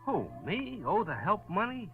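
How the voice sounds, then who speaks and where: An actor playing a brash, slangy sideshow owner and barker in a 1940s radio crime drama. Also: male voice, high-pitched, wildly swooping pitch, unhurried, exaggerated voice. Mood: surly, defensive. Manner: slangy, hawking patter, cocky. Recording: muffled old radio broadcast, music behind.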